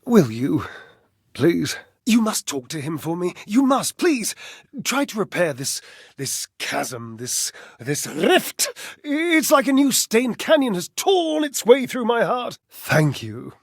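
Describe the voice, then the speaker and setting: An actor playing a flamboyant, lovelorn household-object character in a video game, theatrical, flighty and verbose, with dramatic pauses. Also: male voice, sits high, wildly swooping pitch, husky, no echo, clean studio sound, full character voice. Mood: pleading, anguished.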